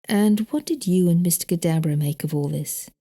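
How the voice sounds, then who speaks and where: A woman voicing a polite, reserved male investigator with a British accent, measured and questioning, in an audiobook. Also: on the low side, lively intonation, warm tone. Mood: curious, measured. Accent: British English. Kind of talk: audiobook character dialogue.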